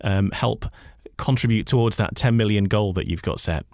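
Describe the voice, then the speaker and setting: A man's voice: lowish voice, normal speed, darkish tone, no room sound. A man hosting a radio chat show, putting questions to a guest in a conversational way. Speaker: radio host, interviewer.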